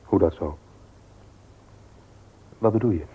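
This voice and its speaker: An actor playing a mild, loving but cautious husband in a radio play, speaking in a measured, questioning way. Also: male voice, low voice, a little hiss or hum. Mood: puzzled. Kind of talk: Dutch radio play acting. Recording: muffled old radio play recording.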